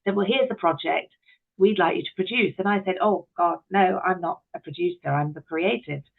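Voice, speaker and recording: A woman's voice: lowish voice, moderate pace, creaky, phone-quality sound. A middle-aged woman, talking candidly and expressively as an interview guest. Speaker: interview guest, actress, writer and director.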